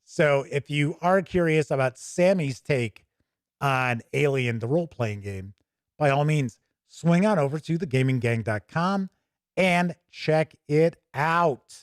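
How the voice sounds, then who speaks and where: A man with a slightly high voice hosts a casual hobby livestream. He talks informally, thinking aloud as he browses and chats to viewers. The sound is dry, with very little room in it. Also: expressive, measured pace, clean tone, no room sound. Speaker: host of a tabletop gaming news and review show. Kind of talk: casual livestream walk-through of a game book, chatting with viewers.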